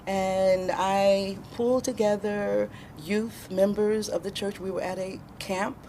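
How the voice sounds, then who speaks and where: An American woman in her sixties answering interview questions in an expressive, emphatic storytelling way. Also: medium pitch, takes it slowly, a little hiss or hum. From born in Alabama, raised in Chicago, USA. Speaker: interview guest, filmmaker.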